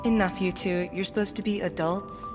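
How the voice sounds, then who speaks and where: A woman's voice: medium pitch, fairly even intonation, moderate pace, over music, natural voice. A woman playing a stern, sensible young woman in an audio drama, with a dry, weary, scolding delivery.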